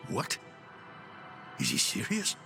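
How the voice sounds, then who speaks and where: An actor playing a weary, sardonic older scholar in a video game, with a gravelly, grumbling delivery. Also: male voice, slow, close to an everyday voice. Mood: sardonic, exasperated. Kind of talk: dramatic video game acting. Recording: game audio with music underneath.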